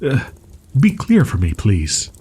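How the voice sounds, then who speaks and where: A man playing a proud, wounded young wolf-boy in an audio roleplay, stammering in archaic, formal phrasing with a husky, breathy voice. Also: on the low side, wildly swooping pitch, slow pace, a little mellow, exaggerated voice. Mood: wary, hesitant. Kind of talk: roleplay audio drama, ASMR.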